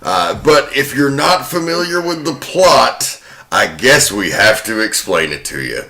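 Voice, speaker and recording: A man's voice: mid-range voice, sing-song, a little slow, sharp tone. A man hosting a horror podcast, moving between talk and dramatic storytelling. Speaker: podcast host. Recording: roomy, music behind.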